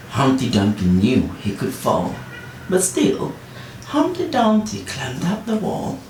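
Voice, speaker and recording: Slow, animated woman reading a bedtime story. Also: fairly low pitch. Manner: dramatic pauses, deliberate phrasing. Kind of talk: expressive children's story narration. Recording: roomy, distant, faint noise.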